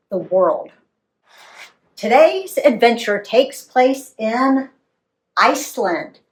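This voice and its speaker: Very expressive, unhurried woman storyteller. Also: a little high, rough recording. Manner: dramatic, animated delivery with emphatic dialogue. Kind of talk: expressive read-aloud story narration for young listeners.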